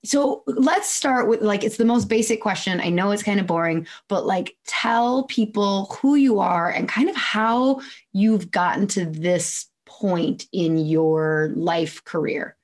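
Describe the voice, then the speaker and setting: A woman's voice: mid-range voice, a little slow. Chatty woman interviewer. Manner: chatty, filler-heavy, friendly. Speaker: podcast host. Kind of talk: casual interview questions.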